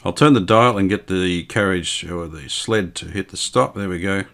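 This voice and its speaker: A man's voice: sits low, normal speed, a bit bright. A man giving a casual hands-on repair demonstration, unscripted and thinking aloud as he works. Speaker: host of radio repair video.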